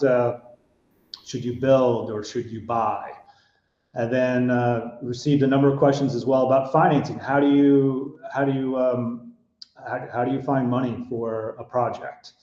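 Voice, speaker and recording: A middle-aged American man moderating an online panel over a video call. His voice is mellow and relaxed, and he stumbles through restarts and fillers. The audio is dull and echoey. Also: mid-range voice. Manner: hesitant, many fillers and restarts. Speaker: webinar host and panel moderator. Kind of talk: online webinar presentation.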